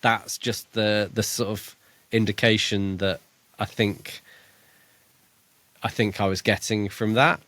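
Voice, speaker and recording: Low-voiced man chatting on a podcast. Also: flat delivery, slow pace, long pauses, fairly bright tone, dry recording. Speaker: podcast co-host. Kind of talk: casual book discussion.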